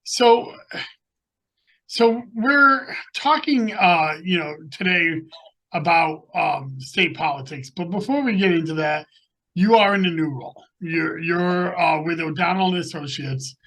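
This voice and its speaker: Host, male, fairly high voice, hesitant delivery full of fillers, on a video call. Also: a bit bright, low-quality recording. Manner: halting, many filler sounds. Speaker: podcast host and elections commissioner. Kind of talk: casual video-call interview.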